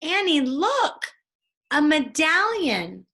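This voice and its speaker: An anxious young boy from a storybook, acted out by a woman, protective and bossy as an older brother. He speaks high and slowly, with urgent, emphatic commands. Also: very animated, fairly bright tone, exaggerated voice. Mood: alarmed calling.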